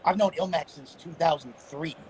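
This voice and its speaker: A man in a casual chat, explaining at length in a measured way. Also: fairly high pitch, darkish tone, slight background noise. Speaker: battle rap league guest.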